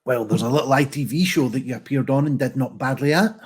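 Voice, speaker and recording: Male host, husky tone, short encouraging prompts. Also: mid-range voice, fast. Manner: brief encouraging backchannel. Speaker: interviewer host. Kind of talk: casual interview.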